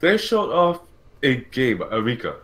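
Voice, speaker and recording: A man hosts a casual gaming discussion at a slow, unhurried pace. His pitch sits in the middle for a male voice. He thinks out loud in a conversational way and corrects himself as he goes. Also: huge pitch swings. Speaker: podcast host.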